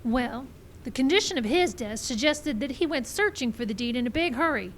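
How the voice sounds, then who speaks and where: Actress for sharp Wild West woman detective, high voice, confident old-time radio delivery, faint live-stage noise. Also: expressive, brisk. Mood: confident, explaining. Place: live show in a park. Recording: live outdoor stage, some background noise.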